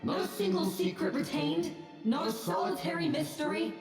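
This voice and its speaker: An actress playing a fretful deity of secrets and mysteries, plaintive and formal. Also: female voice, extremely high pitch, normal speed. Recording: very echoey, distant, music behind.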